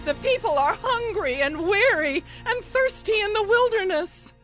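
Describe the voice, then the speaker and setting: A woman's voice: extremely high pitch, animated, measured pace, fairly bright tone, soft background music, heavily acted. A woman playing a compassionate villager concerned for weary travellers in a dramatized scripture reading. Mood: pitying concern.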